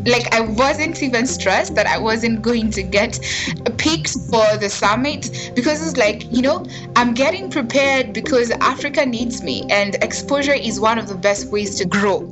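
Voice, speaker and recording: A woman's voice: on the high side, a little slow, rough recording. A young woman from Kenya with a Kenyan accent, telling her own story in an earnest, conversational way that is full of "you know". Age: young adult. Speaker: interviewee. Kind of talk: casual personal storytelling. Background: background music.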